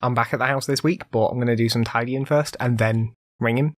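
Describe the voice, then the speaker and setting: A man's voice: medium pitch, fairly even intonation, brisk, no echo. A man hosting a casual solo podcast, rambling conversationally with lots of fillers, still recovering from an illness. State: recovering from illness, voice occasionally cutting out. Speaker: podcast host.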